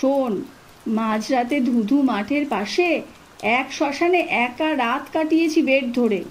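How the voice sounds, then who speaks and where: A woman's voice: sits high, slow, low-quality recording. A woman voicing a fearless, scornful older male cousin who talks boastfully and colloquially in a horror story.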